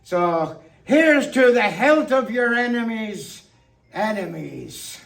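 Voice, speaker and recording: Guy doing a stagey toast monologue, kinda tipsy and playful, really slow and pausey, mic is far away. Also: sits high, animated, vocal fry, a bit bright. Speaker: monologue performer. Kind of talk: theatrical toast-making monologue. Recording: distant microphone.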